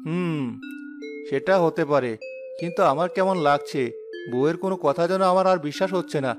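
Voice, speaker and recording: Man voicing a high-pitched, puzzled village husband. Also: brisk, over music, natural voice. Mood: suspicious. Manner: questioning, wondering aloud. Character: mild, puzzled, suspicious young village husband. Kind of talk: Bengali cartoon story voice acting.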